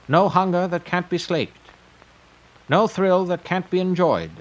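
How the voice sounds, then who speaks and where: A man playing a grandiose, eccentric tycoon pitching his pleasure empire in a declamatory audio drama monologue. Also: a little slow, poor audio.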